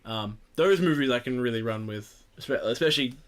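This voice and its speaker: A man's voice: mid-range voice, fairly quick. Rambling, sweary man hosting a podcast. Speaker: podcast host. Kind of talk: casual opinionated group chat.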